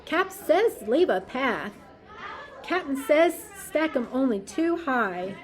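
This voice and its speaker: A woman voicing a brisk, businesslike male sailor barking terse loading orders in a children's storybook. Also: sits high, sing-song, a crowd in the background, voice pushed up, exaggerated voice. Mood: urgent, businesslike. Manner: terse, commanding.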